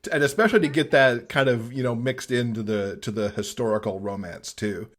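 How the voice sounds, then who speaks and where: Casting: male podcast guest, medium pitch, conversational book-recommendation chat. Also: a little fast, a bit bright.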